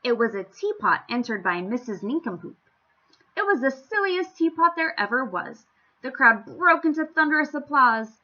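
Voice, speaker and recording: A woman reading a storybook aloud to young children, with theatrical, playful emphasis and an expressive storytelling style. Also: high voice, moderate pace, highs cut off. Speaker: children's story-time reader.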